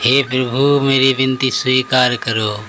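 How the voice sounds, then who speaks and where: A man acts out an innocent village devotee in a devotional cartoon. The voice is bright and forceful but stays on an even pitch. He pleads with guileless devotion, over faint background noise. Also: unhurried. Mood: devout pleading. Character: Bholu, simple, earnest, innocent male devotee of God. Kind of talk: Hindi devotional cartoon story acting.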